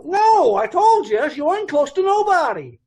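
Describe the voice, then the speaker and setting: An actor playing a brusque, chatty dance hall boss with folksy, blunt speech in an old-time radio police drama. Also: male voice, very high voice, very animated, unhurried, darkish tone, full character voice.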